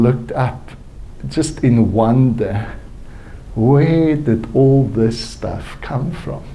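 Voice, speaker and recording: A man from South Africa, native Afrikaans speaker with a South African accent, telling a personal story at a conference in a halting, reflective way. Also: medium pitch, warm tone. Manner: slow, halting, reflective with frequent restarts. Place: conference hall.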